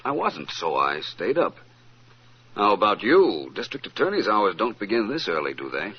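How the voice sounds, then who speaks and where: An actor playing an urbane, unflappable gentleman detective in an old-time radio drama, polite and drily witty. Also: fairly low pitch, wildly swooping pitch, moderate pace. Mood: unruffled, amused. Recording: dull, faintly noisy vintage radio recording.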